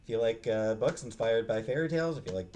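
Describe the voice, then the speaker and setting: A man giving a casual, unscripted book review in a halting, hesitant way, often trailing off and restarting. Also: mid-range voice, expressive, mellow, a little hiss or hum. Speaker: book reviewer, vlog host.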